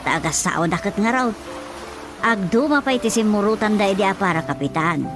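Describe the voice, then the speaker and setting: A woman's voice: mid-range voice, expressive, brisk, over music, close to an everyday voice. A woman acting a frank village daughter advising her father in a radio drama, with an Ilocano accent. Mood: frank, persuasive.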